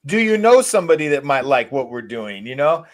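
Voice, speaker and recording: A man's voice: mid-range voice, very animated, fairly quick. A man talking casually and loosely, trailing off and repeating short phrases. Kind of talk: casual chat.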